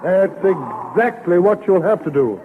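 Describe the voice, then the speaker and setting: An actor playing an earnest, fussy lawyer in 1930s radio comedy, speaking formally and carefully. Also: male voice, high voice, expressive, measured pace. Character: earnest, fussy male lawyer breaking news gently. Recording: muffled old 1930s radio recording.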